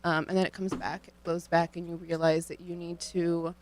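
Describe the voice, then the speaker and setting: A young woman from Ohio, a student guest on a radio show, answering questions in an earnest, measured, thoughtful way into a studio microphone. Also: on the low side, flat delivery, a little hiss or hum. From Cleveland, Ohio, United States. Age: young adult.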